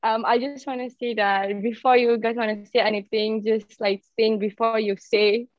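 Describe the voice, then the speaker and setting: A woman's voice: a little high, level tone, moderate pace, dry recording, lo-fi sound. A Malaysian woman with a Malaysian accent, a podcast guest talking hesitantly and giggling often. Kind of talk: casual podcast chat.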